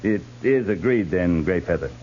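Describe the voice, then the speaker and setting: Steady cavalry captain, lowish voice. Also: male voice. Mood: earnest, firm. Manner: measured, firm, plain-spoken authority. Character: steady, earnest male cavalry captain on the frontier. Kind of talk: 1950s radio western acting. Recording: muffled, noisy 1950s radio recording.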